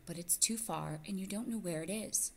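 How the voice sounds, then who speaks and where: A woman reading the part of a careful mother mouse in a storybook, measured and gently reasoning. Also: on the low side, gravelly.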